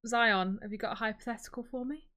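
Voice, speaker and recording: A woman's voice: on the high side, steady tone, fast talker, crowd noise. Woman co-hosting a casual podcast. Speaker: podcast co-host. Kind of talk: casual chat.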